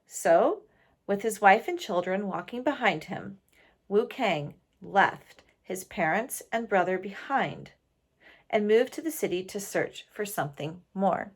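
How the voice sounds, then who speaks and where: A woman reads a story aloud to listeners. Her delivery is slow and expressive, with pitch that moves around a great deal. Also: husky. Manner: pauses to question listeners. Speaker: storybook reader.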